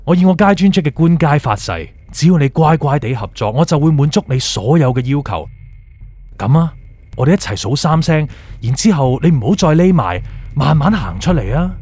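A man's voice: fairly high pitch, animated, moderate pace, darkish tone, husky. A man playing a ruthless, pompous soul prosecutor in a radio drama, commanding and threatening, with a Hong Kong Cantonese accent. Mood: coaxing, deceitful. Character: ruthless, domineering male soul prosecutor hunting fugitives. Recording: music bed behind the voice.

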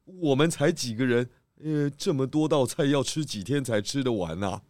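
A man's voice: mid-range voice, normal speed, a little mellow, no room sound, clean studio sound, close to an everyday voice. An actor playing an earnest, food-loving male pig detective in a children's audio drama, with hesitant questions. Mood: earnest, puzzled.

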